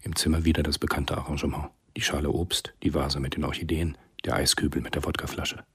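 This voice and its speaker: The narrator of a radio crime drama. His voice is extremely deep and very husky. He tells the story soberly, with a measured, restrained, observant delivery. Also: flat delivery, fairly bright tone, full character voice. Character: sober, observant male storyteller of a spy thriller.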